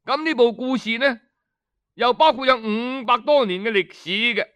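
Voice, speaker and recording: A man narrating history as a radio storyteller, deliberate and explanatory, pausing for effect. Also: very high-pitched, a bit bright, smooth. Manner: deliberate, explanatory, with rhetorical pauses and questions.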